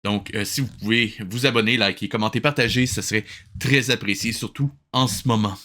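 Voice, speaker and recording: Bright, forceful male livestream host. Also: on the low side, steady tone, fairly quick. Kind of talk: casual livestream reaction commentary.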